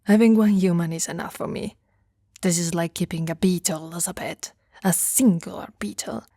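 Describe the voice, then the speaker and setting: Woman as a disdainful giantess, blunt, grudging, dark mellow voice, dry recording, ASMR roleplay. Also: fairly low pitch, fairly even intonation, moderate pace, no room sound. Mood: dismissive. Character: grumpy, disdainful giantess secretly softening toward tiny human pet.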